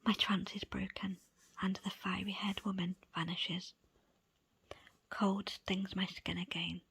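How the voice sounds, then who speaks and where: A woman reading a book aloud as ASMR in a soft, breathy, unvoiced whisper, with deliberate pauses between short phrases. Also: mid-range voice, little pitch movement, no room sound. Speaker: audiobook reader. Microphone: close, dry microphone.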